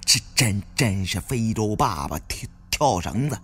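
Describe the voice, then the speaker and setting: A man's voice: lowish voice, very animated, measured pace, sharp tone, slightly rough, pitched below the performer's own voice, exaggerated voice. A man voicing a brash young tomb raider in an audiobook, stuttering through crude, taunting banter. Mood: mocking.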